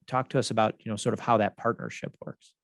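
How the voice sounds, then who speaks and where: A man hosting a podcast, reading the intro and disclaimers in a measured way and putting questions to a guest. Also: on the low side, steady tone, gravelly, no echo, studio quality. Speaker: podcast host.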